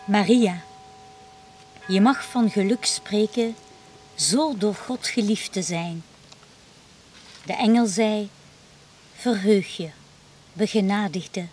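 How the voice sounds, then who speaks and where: A woman reading a devotional meditation in a quiet, reflective way. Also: medium pitch, takes it slowly, long pauses, a little hiss or hum. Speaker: prayer reader.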